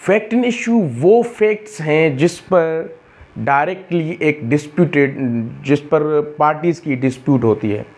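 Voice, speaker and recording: A man with a Pakistani accent lecturing on law, reading out provisions and explaining them deliberately, repeating key phrases as he goes. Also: fairly high pitch, darkish tone.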